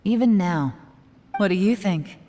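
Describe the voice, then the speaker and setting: A woman voicing a self-assured, calculating female agent in a video game, with measured, coolly taunting delivery. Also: expressive, dark tone, a little hiss or hum, lightly acted. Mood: cool, accusing. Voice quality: low-pitched. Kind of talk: scripted game voice acting. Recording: long reverb, faint music behind.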